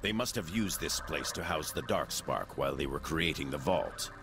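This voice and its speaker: An actor playing a cold, analytical robot scientist in a video game, with formal, clipped and aloof diction. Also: male voice, a bass voice, animated, normal speed, background noise. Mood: fascinated.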